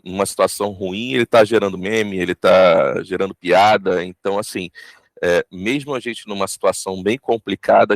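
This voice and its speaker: A man's voice: on the low side, no room sound. A Brazilian man chatting casually as he takes part in a discussion about creative work. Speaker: audio drama team member in a discussion.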